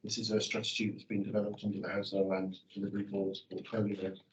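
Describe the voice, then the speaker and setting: A man with a very deep, slightly croaky voice presents a formal policy update to a committee. He speaks in long, jargon-heavy sentences with occasional fillers. He sounds set back from the microphone. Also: completely flat delivery, quick pace, distant mic, a little hiss or hum, low-quality recording. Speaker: officer presenting a report.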